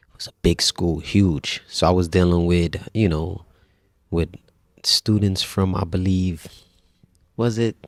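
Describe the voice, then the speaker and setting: Male guest, 30s: very low, gravelly voice, dry close sound, relaxed storyteller. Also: no echo. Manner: relaxed, conversational, frequent restarts and religious phrases. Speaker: podcast guest. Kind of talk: personal storytelling in casual conversation.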